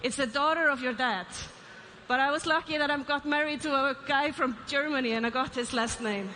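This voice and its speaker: A woman's voice: high voice, fairly quick, pushed and bright. A woman from Iceland with an Icelandic accent, giving a conference keynote and asking the audience questions. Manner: asks the audience questions, light asides. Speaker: keynote speaker, CEO. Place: large conference stage. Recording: reverberant hall, faint ambience.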